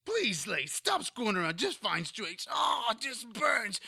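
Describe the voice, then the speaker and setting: A man's voice: extremely high pitch, very animated, measured pace, gravelly, bright, forceful tone, breathy edge, no room sound, clean studio sound, full character voice. A man playing a cowardly, put-upon demon underling in an audio drama, whiny and indignant. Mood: pained, pleading.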